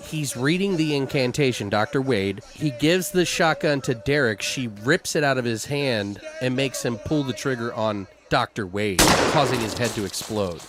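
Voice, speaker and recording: Casual foul-mouthed man brainstorming out loud. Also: medium pitch, expressive, normal speed, hardly any pauses, noisy background. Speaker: co-host brainstorming a horror audio drama. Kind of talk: casual creative brainstorming chat.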